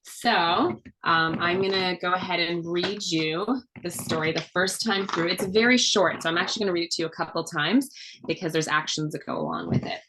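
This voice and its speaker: A woman's voice: on the low side, lively intonation, a little fast, noisy background. A woman who is a children's author, informally presenting a storybook and explaining things encouragingly to a child.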